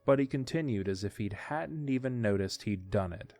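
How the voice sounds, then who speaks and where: A man's voice: on the low side, animated, moderate pace, a little mellow, faint music behind. A man narrating a scripted audio drama as its storyteller.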